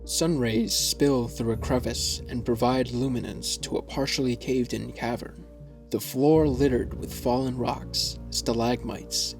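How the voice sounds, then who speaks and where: Gravelly male story narrator. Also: medium pitch.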